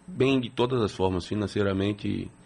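A man's voice: fairly low pitch, moderate pace, vocal fry, a little mellow. A man, answering interview questions plainly and steadily as a city council president. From Salvador, Brazil. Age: 50s.

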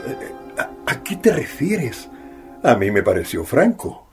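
A man's voice: mid-range voice, big pitch swings, takes it slowly, loud music behind the voice. An actor playing a trusting, reasonable middle-aged father in a radio drama. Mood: puzzled, conceding.

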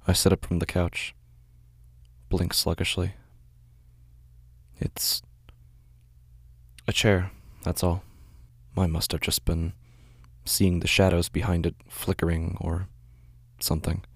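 A man playing a weary, anxious shut-in, narrating a horror audio drama in a halting, hushed, introspective way. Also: low-pitched, flat delivery, vocal fry, husky, no echo, full character voice. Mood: listless, pensive. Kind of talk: first-person horror audio drama monologue.

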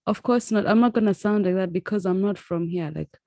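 A young woman from Kenya with a Kenyan accent, chatting casually in an animated way. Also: medium pitch, no echo. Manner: animated, quick reactions and laughter. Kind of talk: casual chat.

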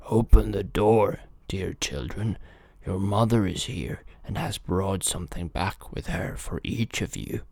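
A man voicing a sly storybook wolf who wheedles and pretends to be gentle. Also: low-pitched, measured pace, creaky, no echo, full character voice. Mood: coaxing. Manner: wheedling, falsely sweet. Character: sly deceitful male wolf posing as a gentle mother.

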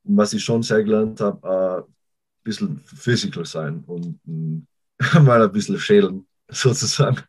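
A young man with an Austrian accent, a college football player answering interview questions in a halting way, often restarting sentences. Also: lowish voice, slightly rough. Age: young adult. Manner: halting, restarts sentences while searching for words. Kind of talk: casual interview talk.

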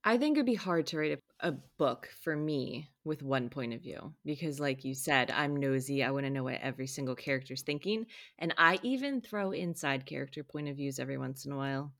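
A woman's voice: very deep voice, expressive, fairly quick, hardly any pauses, fairly bright tone. A woman co-hosting a podcast who tells stories and acts out what other people said. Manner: storytelling, acts out quotes. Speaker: podcast co-host and author. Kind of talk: casual podcast chat.

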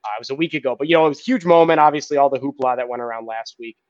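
A man recaps games in a rambling way, full of fillers, his sentences often fading off quietly. The recording sounds dry and a bit dull. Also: mid-range voice, very fast, no room sound. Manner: rambling with many "uh"s, trailing off quietly. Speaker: podcast co-host. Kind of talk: casual sports recap.

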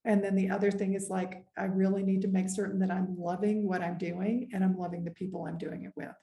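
Middle-aged woman, earnest interview guest, warm mellow tone, roomy echo. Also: mid-range voice, flat delivery, creaky, a little hiss or hum. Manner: earnest, measured, with frequent pauses and "um". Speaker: guest, executive. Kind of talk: reflective interview conversation. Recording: echoey room.

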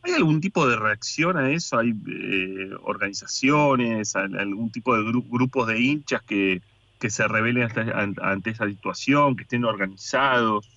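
A man with an Argentine accent hosting a sports radio show, asking conversational, slightly halting questions. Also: on the low side, bright, forceful tone, highs cut off. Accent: Argentine Spanish. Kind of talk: radio interview.

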